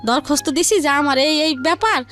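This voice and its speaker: Woman, high-pitched voice, Bangladeshi colloquial Bengali, rambling personal storytelling, faint music behind. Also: brisk, fairly bright tone. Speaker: guest telling her life story. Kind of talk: personal storytelling in a radio interview.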